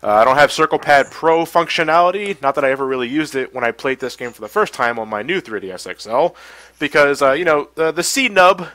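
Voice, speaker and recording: A man streaming a game and chatting casually to a co-host, rambling with lots of fillers and animated asides. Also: a little high, brisk, no echo. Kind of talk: casual gameplay livestream chat.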